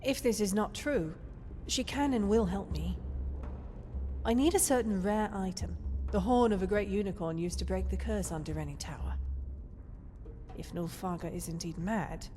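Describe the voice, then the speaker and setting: An actress playing a composed, courteous exiled sorceress in a video game, speaking formally and earnestly. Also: female voice, medium pitch, normal speed, warm tone, natural voice. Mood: earnest, solemn. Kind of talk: scripted video game dialogue. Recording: game music behind the voice. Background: music.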